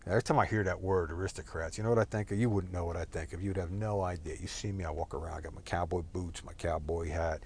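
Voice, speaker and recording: A man's voice: low-pitched, fairly even intonation, fast talker, few pauses, breathy edge. A man from Texas talking in a rambling, profane monologue full of fillers and digressions. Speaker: solo talker.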